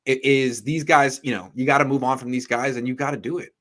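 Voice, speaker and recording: A man hosting a sports call-in show, chatting casually in a playful, animated way and often cutting in with quick replies. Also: medium pitch. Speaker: host.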